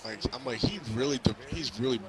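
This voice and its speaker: A man casually recapping a movie in a halting, rambling way, repeating himself as he goes. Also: mid-range voice. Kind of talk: casual movie recap chat while playing a video game. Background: crowd noise and basketball bouncing from a game.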